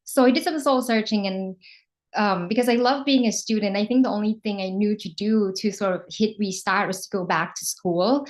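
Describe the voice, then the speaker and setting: Thai-born woman translator on a panel. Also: medium pitch. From Thailand. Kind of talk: panel talk.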